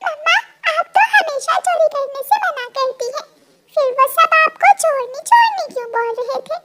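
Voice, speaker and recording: A woman's voice: extremely high pitch, animated, normal speed, heavy background noise. A woman voicing a small, innocent child bird in a cartoon, in an eager childlike way. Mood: plaintive, puzzled. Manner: childlike, plaintive.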